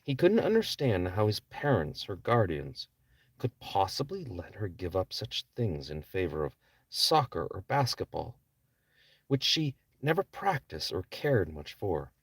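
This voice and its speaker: A man's voice: low voice, very animated, unhurried, breathy edge, no echo. A man reading his own novel aloud as a scripted audiobook narration. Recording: distant microphone.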